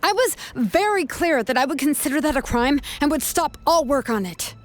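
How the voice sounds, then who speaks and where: A woman's voice: high-pitched, animated, moderate pace. A woman playing a cool, shrewd and determined young woman in a video game, probing and firm in manner. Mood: reasoning, firm.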